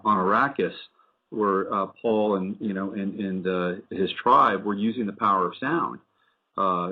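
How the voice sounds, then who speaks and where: Low-voiced male radio host, phone-thin sound. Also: phone-quality sound. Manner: halting, many fillers. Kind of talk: interviewing, conversational.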